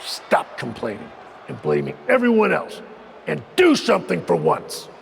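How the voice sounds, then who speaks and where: Game role: exasperated wrestling boss, American, deep creak in a raised voice, emphatic scolding, big echoing hall. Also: male voice, very animated, moderate pace, heavily acted. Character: exasperated, stern veteran wrestler turned boss. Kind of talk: wrestling promo acting. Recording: booming arena reverb, faint noise.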